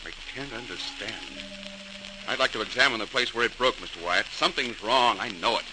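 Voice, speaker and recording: A man's voice: mid-range voice, brisk, lightly acted. Earnest man playing a radio-drama inventor, noisy old recording. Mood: troubled, baffled. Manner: earnest, emphatic, stagey. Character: John Roebling, earnest, determined male engineer inventor rallying his neighbors. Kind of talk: 1940s radio drama acting.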